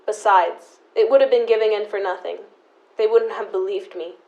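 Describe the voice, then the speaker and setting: A young woman playing a stubborn, embittered, protective sister in an audio drama, with deliberate, resentful delivery. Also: medium pitch, fairly even intonation, darkish tone, dull audio, lightly acted. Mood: bitter, resolute. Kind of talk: high school audio drama acting.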